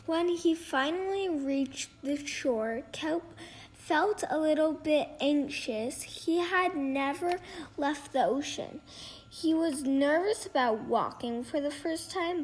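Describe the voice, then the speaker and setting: High-pitched, slow, halting female storybook reader. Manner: halting, stumbles over words and rereads phrases. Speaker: reader of a children's picture book. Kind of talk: storybook read-aloud.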